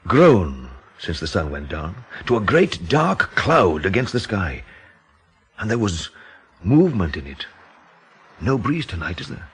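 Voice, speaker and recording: An actor playing a sceptical, uneasy gentleman in an audio drama, with a husky voice and a halting, intense delivery. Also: deep voice, big pitch swings, heavily acted. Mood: haunted. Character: sceptical, uneasy male gentleman named Lewis.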